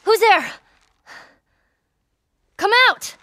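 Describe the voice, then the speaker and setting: A woman's voice: mid-range voice, lively intonation, fairly quick, natural voice. A woman playing a tough, wary American teenage girl in a video game, speaking bluntly and guardedly in short replies. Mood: alarmed, commanding. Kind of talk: naturalistic video game acting.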